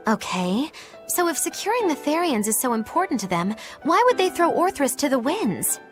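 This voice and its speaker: A woman's voice: high-pitched, very animated, normal speed, music in the background. An actress voicing a playful, theatrical young witch in game dialogue, teasing and sly in her delivery. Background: game score.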